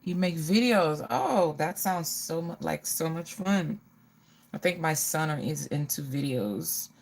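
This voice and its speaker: A woman's voice: low voice, unhurried, gravelly. A middle-aged woman hosting a casual get-ready livestream, chatting to viewers and often repeating her phrases.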